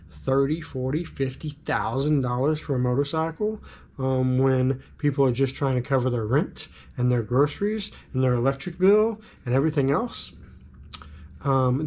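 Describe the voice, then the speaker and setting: A middle-aged man talks casually to camera in his vlog, thinking aloud with plenty of ums. His voice is raspy from a cold, set at an ordinary middle pitch. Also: a little mellow. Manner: musing, frequent ums. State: has a cold. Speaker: vlogger.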